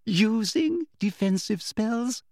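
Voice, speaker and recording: A prim, patronizing schoolmistress, put on by a man reading a storybook, who talks in a soft, sugary, high voice that rises and falls in a sing-song. Her sweetness never sounds sincere. The recording is dry and close. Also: sing-song, a little slow, dry recording, full character voice. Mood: falsely sweet. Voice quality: sweet, breathy, prissy and patronizing. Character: sugary, condescending female teacher, falsely sweet.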